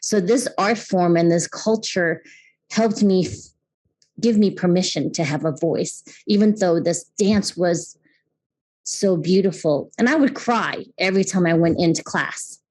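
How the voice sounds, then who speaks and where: A woman's voice: on the low side, unhurried, a little mellow, poor audio. A woman in her fifties from Taiwan, hosting her podcast and talking in an earnest way that often restarts and repeats words. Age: 50s. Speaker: podcast host. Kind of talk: casual personal talk; scripted intro narration.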